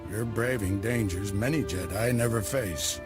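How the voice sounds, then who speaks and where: A man voicing a calm, wry Jedi master who gives guidance and orders to his apprentice, in a studio video game cutscene. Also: lowish voice, normal speed, natural voice. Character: wry, patient older Jedi master and mentor. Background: orchestral game music.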